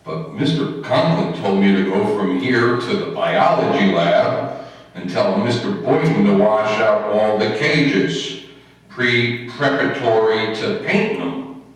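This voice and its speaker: Man playing a slow, dopey schoolboy, echoey. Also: fairly low pitch, lively intonation. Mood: dopey, earnest. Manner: slow, halting, ungrammatical, dopey. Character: slow-witted, good-natured male high school student. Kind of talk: old-time radio comedy acting. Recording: very echoey, distant room recording.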